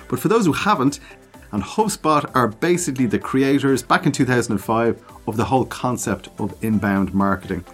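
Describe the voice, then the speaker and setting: A man hosting a podcast, reading out promotional intros and then chatting with a guest. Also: medium pitch. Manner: promotional, list-reading, conversational. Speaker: podcast host.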